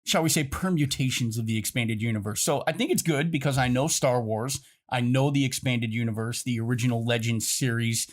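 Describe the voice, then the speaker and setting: A man's voice: mid-range voice, brisk, hardly any pauses. A man co-hosting a podcast in casual chat, wry and wordy. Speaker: podcast co-host.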